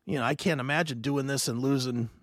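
A man in his fifties hosting a casual podcast chat, rambling and animated with many restarts. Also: medium pitch, fast, dry recording, studio quality. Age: 50s. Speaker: host.

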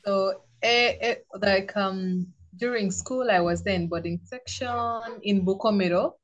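Young ugandan woman, podcast guest, plain easy talk, laughs a lot, mid-pitched voice. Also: unhurried, highs cut off. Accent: Ugandan English. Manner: laughs easily, speaks plainly. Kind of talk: casual interview chat.

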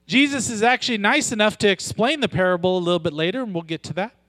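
A man preaching a sermon with a loud voice, moving from joking, conversational asides to slow, weighty emphasis on scripture. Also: high-pitched, dry recording. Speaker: pastor.

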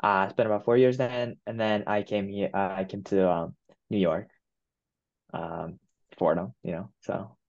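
A young man in his twenties from Japan, whose first language is Japanese, answering interview questions in a relaxed way with many "you know"s and "um"s. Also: lowish voice, moderate pace, vocal fry, dull audio. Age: 20s. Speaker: guest. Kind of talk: casual interview.

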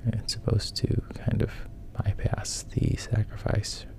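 A man casually retelling a story in a hushed, halting way, often dropping to a whisper, with a gravelly, breathy voice. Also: on the low side, little pitch movement, a little hiss or hum.

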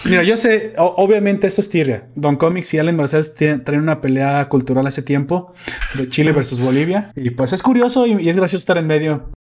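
A man's voice: a little high, fairly quick, darkish tone. A man hosting a casual podcast chat. He jokes, laughs often and stumbles when reading aloud. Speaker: podcast host.

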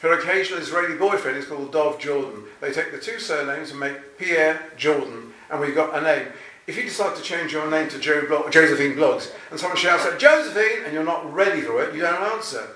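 A man's voice: on the high side, fairly quick, pushed and bright, far from the mic. An older man, a novelist, answering interview questions in a chatty, anecdotal and self-deprecating way. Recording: roomy, from off-mic.

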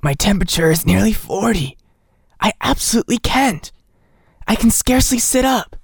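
A woman's voice: medium pitch, expressive, moderate pace, vocal fry, slightly rough, no room sound, pitched above the performer's own voice. Weary feverish country doctor, muttering and grumbling, dry close sound, read by a woman. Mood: weary reluctance.

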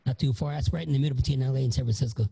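A man's voice: medium pitch, fairly even intonation, rapid-fire, vocal fry, mellow, a little hiss or hum. A man from the South, a podcast guest, talking in a joking way. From Southern United States. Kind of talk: casual chat.

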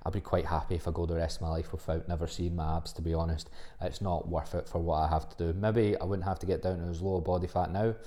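Soft-spoken deep man, blunt and rambling. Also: steady tone, fast talker. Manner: rambling and blunt, with frequent fillers and swearing. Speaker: podcast host and fitness coach. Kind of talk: casual solo podcast monologue.

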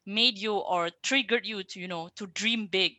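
A woman from Indonesia interviews a guest over a video call, her voice bright and forward with a close, dry sound. She speaks in a friendly, encouraging way and keeps her questions conversational. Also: mid-range voice, no room sound. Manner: friendly, encouraging, quick laughs. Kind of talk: casual interview.

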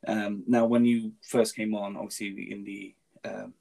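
A man's voice: fairly low pitch, little pitch movement, measured pace, vocal fry, warm tone. A man whose first language is Farsi, hosting a casual podcast interview, talking with frequent ums and restarts. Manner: hesitant, many ums and restarts. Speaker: podcast host.

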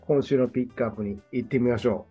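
A man's voice: medium pitch, fast talker, mellow, breathy edge. Man around forty co-hosting radio over music. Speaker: radio show co-host, copywriter. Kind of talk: casual chat with scripted show intros. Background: background music.

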